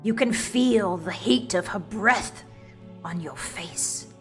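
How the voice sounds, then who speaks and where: A woman's voice: medium pitch, lively intonation, takes it slowly, fairly bright tone, faint music behind. A woman narrating a comic visual novel in a wry, arch, mock-grand storyteller's manner.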